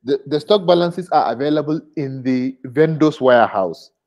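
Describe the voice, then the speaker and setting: A man's voice: mid-range voice, animated, normal speed, darkish tone. A man with a Nigerian accent asking an unscripted question as an attendee on an online webinar. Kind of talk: unscripted question on a group call. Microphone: video call. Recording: dull, lo-fi video call audio.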